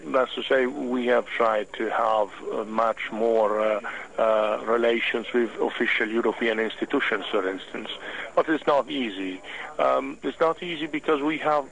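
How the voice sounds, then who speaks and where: A man with an Italian accent, a native Italian speaker, answering radio interview questions slowly as he searches for words. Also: mid-range voice, creaky, a bit bright, low-quality recording. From Italy. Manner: halting, searching for words in a second language. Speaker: hobby club official, interview guest.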